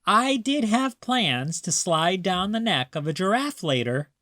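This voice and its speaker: Playful storybook grandma, as a reader puts her on, pitched up. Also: very animated, a little slow, fairly bright tone, no room sound, studio quality. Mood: mock-serious teasing. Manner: mock-serious, coaxing. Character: playful, gently teasing grandmother in a children's storybook.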